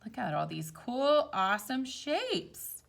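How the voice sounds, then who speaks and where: A woman reading a picture book aloud to young children, expressive, with casual explanatory asides. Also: fairly high pitch, takes it slowly, gravelly. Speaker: storytime reader.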